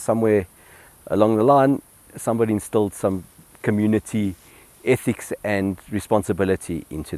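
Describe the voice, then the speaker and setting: A man's voice: fairly low pitch, a little mellow, dry recording. A middle-aged man, telling his family history in an interview at home, with many ums and restarts. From South Africa. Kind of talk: relaxed personal reminiscence.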